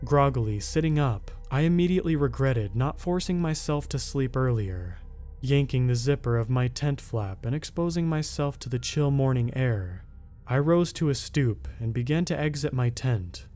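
A man reads a horror tale in the first person, slow and measured. His voice is mellow and dark-toned, sitting at an ordinary pitch. The reading is dramatic but steady. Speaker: horror story narrator.

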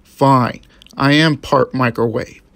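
A gruff grown-up cartoon rabbit uncle, part machine played by a woman. The voice is pushed low and moves slowly, terse, self-conscious and reluctant. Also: full character voice. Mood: reluctant, glum. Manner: terse, reluctant, flat-toned. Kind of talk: amateur cartoon voice acting.

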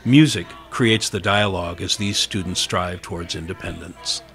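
Low-pitched American male narrator. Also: normal speed, creaky, fairly bright tone. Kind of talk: scripted documentary narration. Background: background music.

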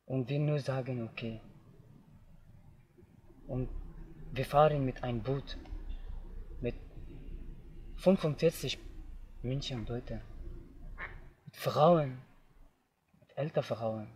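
Young man speaking halting German. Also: medium pitch, a little mellow. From Afghanistan. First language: not German. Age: young adult. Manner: halting learner's German, simple phrasing. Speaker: interviewee. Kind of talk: personal testimony. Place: outdoors in tall grass.

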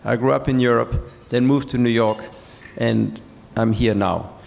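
A middle-aged man from France, speaking as a guest on stage in a large hall, pausing with many fillers. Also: mid-range voice, fairly even intonation, gravelly, darkish tone. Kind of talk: onstage conversation. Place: large event hall with seated audience. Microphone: handheld mic.

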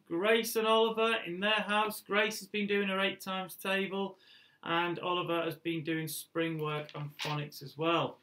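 A middle-aged man, a school head teacher, reads out a cheerful list of pupils' shout-outs into a webcam in a school room. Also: high-pitched. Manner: upbeat listing of names, dropping at phrase ends. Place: school room before a display board. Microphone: webcam facing him.

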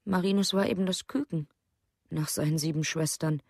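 A woman's voice: sits low, steady tone, normal speed, gravelly, a little mellow, dry recording, studio quality. An actress playing a grieving mother in a documentary radio drama, recalling things plainly and with restraint. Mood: restrained, wistful. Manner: plain, simple, restrained recollection. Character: grieving village mother remembering her youngest son.

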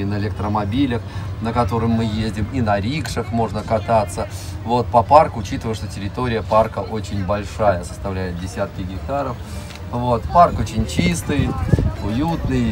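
A middle-aged man giving a casual guided tour in short, unhurried phrases with frequent pauses. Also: fairly low pitch. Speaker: zoo director giving an interview and tour. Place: open cab of a small vehicle driving through an outdoor park.

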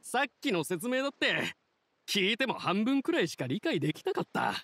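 Earnest, high-voiced young boat captain. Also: male voice, sing-song, a little fast, fairly bright tone, slight background noise, exaggerated voice. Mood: humbled, grateful. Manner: plain-spoken, sincere, emphatic. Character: humble, earnest young male boat captain, simple and grateful. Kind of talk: video game dialogue acting.

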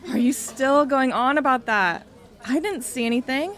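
A woman's voice: sits high, animated, moderate pace, a bit bright. A woman playing a breezy, teasing young woman in an amateur audio drama, with a casual, offhand manner. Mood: dismissive.

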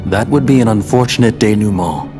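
Guy playing smug calm villain boss, low voice, formal n menacing, scary music under it. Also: brisk, natural voice. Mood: commanding. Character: cold, commanding adult male villain lord, composed. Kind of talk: video game cutscene acting.

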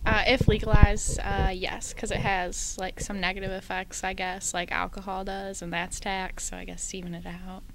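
A woman's voice: medium pitch, little pitch movement, normal speed, few pauses. Young woman in a noisy interview recording. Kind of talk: casual opinion interview. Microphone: handheld microphone held out by interviewer. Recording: clear background noise, clicks.

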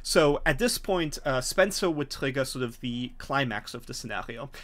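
A middle-aged man with a medium-pitched voice narrates a tabletop game as game master. He explains in a halting way, pausing often, and is recorded over a video call at home. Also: animated, fairly bright tone. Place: home room, indoors.

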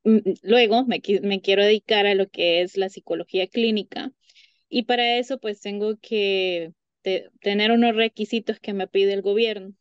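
A woman's voice: mid-range voice, fairly even intonation, moderate pace, smooth, no room sound, lo-fi sound. A woman in her thirties, a psychologist, chatting in an interview over a video call, with lots of fillers and restarts. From Honduras. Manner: chatty, many fillers and restarts, laughs often. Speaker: psychologist guest. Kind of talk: casual interview conversation. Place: home room by a window. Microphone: video call webcam.

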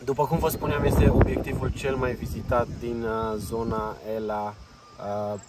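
A man's voice: medium pitch, flat delivery. A man explaining like an informal tour guide in a travel vlog, recorded outdoors. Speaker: travel vlogger. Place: outdoors near a jungle railway bridge. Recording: distant mic, noisy outdoors, insects.

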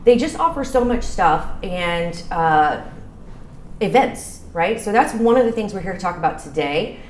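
Chatty woman hosting a casual local show, voice a bit low, roomy sound. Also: lively intonation, normal speed, a little mellow. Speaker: show host. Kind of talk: casual promotional chat. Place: small bar room, indoors. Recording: roomy echo, some room noise.

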